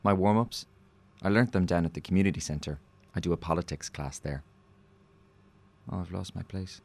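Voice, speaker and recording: Deep-voiced, gravelly, naive condemned man. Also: moderate pace, a little mellow. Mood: earnest, muddled. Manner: hesitant, rambling, earnest. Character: naive, self-doubting condemned male criminal, gently hopeful. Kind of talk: naturalistic radio drama acting.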